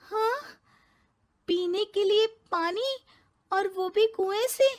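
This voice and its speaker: A woman acts out a young city bride in a cartoon moral tale. Her voice is high and her delivery slow and measured. She is polite, earnest and eager, explaining things to her elder. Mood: shocked. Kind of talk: animated moral-story cartoon voice acting.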